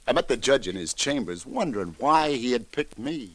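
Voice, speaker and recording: An actor playing a world-weary New York police detective with an American accent in an old-time radio crime drama, speaking in a colloquial, hesitant way. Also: male voice, medium pitch, lively intonation, moderate pace, dry recording, close to an everyday voice. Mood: brooding.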